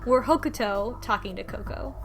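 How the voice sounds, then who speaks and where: A woman's voice: on the high side, normal speed, a crowd in the background. A woman co-hosting a podcast, casually recapping a story in a conversational way with wry asides. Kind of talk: casual plot recap discussion.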